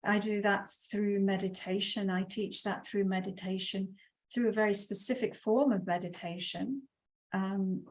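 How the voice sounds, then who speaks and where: A woman who teaches meditation, a guest on an online talk show, explaining things calmly in steady flowing lists. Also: mid-range voice. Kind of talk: casual interview talk.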